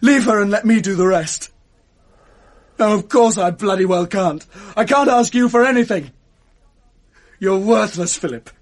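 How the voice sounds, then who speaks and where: A man's voice: high-pitched, measured pace, pauses often. A man playing a proud young man, drunk and bitterly accusing his friend of betrayal in a 1980s radio drama. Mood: furious. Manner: wounded, accusing outbursts. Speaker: jilted friend.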